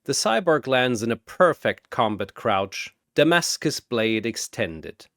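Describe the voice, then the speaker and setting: Male narrator, unhurried pace, expressive swings in pitch, portentous, theatrical, scripted storytelling, dry studio-like sound. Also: no echo.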